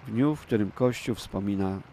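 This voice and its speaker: A man interpreting a live address and prayer in a measured, formal way, phrase by phrase. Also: lowish voice, dark tone. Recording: voice-over over noisy live outdoor feed. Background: noise and ambience of the live feed.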